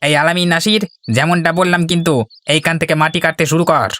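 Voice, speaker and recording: A man's voice: fairly high pitch, flat delivery, fast, fairly bright tone, lightly acted. A man voicing an emphatic, dramatic villager in a cartoon. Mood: bossy, menacing. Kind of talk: Bengali cartoon voice acting.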